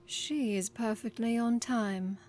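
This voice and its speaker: Woman doing a snooty death mage lady, sniffy and sneery, kinda loud. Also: on the high side, lively intonation, unhurried, soft background music. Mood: haughty. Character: pale, disapproving female death mage.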